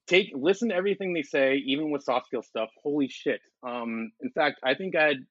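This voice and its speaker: A loud, intense young man, bursting with excitement as he chats on a podcast. His voice comes through dull and muffled, with no room sound at all. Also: on the high side, brisk, no echo. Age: young adult. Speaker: guest. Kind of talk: casual podcast chat.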